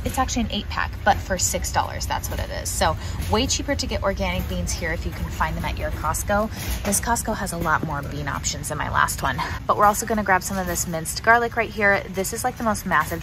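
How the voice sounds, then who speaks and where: A young adult American woman chatting casually and informally to viewers, like a shopping vlogger. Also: fairly low pitch. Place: large indoor warehouse store. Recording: noisy warehouse store background hum.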